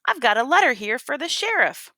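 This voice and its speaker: A woman's voice: high voice, very animated, brisk, sharp tone, dry recording, clean studio sound, voice pushed up, heavily acted. A woman voicing a brave, honest young male cowboy in a storybook, with folksy Western talk. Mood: earnest, surprised.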